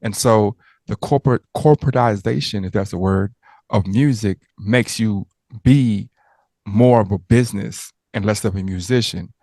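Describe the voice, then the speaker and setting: Rambling, joking man host with a low voice. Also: moderate pace, a little mellow, no room sound. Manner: rambling, joking, prone to tangents and stammers. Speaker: interviewer and host. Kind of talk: casual interview chat.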